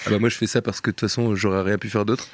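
A man hosting a podcast, chatting casually, laughing often and quick with short agreements. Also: lowish voice, flat delivery, slight background noise. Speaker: podcast host. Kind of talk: casual interview chat.

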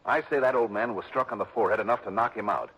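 Tough, sardonic male investigator, husky and clipped, on a muffled vintage radio broadcast. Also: lowish voice, racing, a bit bright. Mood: probing. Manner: clipped, wry and pressing. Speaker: lead actor. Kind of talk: 1960s radio drama acting.

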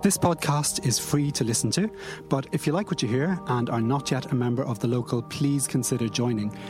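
A man hosting a podcast, reading a measured scripted introduction and asking interview questions. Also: medium pitch, music in the background. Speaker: podcast host.

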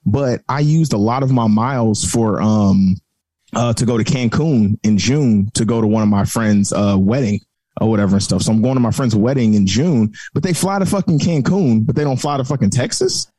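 A man with a dark, mellow voice, talking in a loose, rambling way full of fillers and casual swearing. The sound is dry and close, with no room around it. He speaks at an easygoing, mid-range pitch. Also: normal speed, dry recording. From Phoenix, Arizona area resident. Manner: laid-back, rambling, swears freely. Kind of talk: casual podcast chat.

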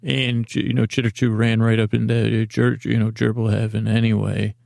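A middle-aged man hosting a podcast with a silly, halting monologue that meanders and repeats itself as it drifts into tangents. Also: fairly low pitch, level tone, gravelly, darkish tone, dry recording, studio quality. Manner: silly, whimsical. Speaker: podcast host. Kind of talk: rambling comedic monologue.

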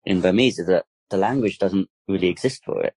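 A man's voice: low-pitched, fairly quick, a little mellow, husky, dry recording. A man speaking as a guest campaigner in a casual interview, rambling with lots of "like" and "kind of". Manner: rambling, full of fillers and restarts.

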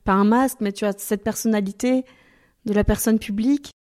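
A woman's voice: medium pitch, fairly even intonation, brisk, a little mellow. A woman interviewer who asks questions in a chatty, friendly way. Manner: friendly, chatty, addresses the guest with tu. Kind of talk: casual interview.

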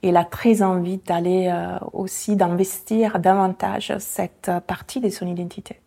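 Invitée d'interview, femme de 40 ans, accent italien, timbre sombre et feutré, réfléchie et hésitante. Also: female voice, fairly low pitch, level tone, moderate pace, gravelly, warm tone. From Italy. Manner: reflective, many hesitations with euh. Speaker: guest, founder of a language association. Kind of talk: conversational interview.

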